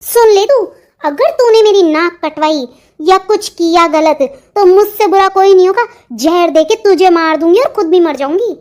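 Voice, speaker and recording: A woman's voice: very high voice, moderate pace, a little mellow. A woman voicing a middle-aged, stern and bossy mother of a bride in a melodramatic Hindi cartoon, nagging and threatening as she talks. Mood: angry, threatening.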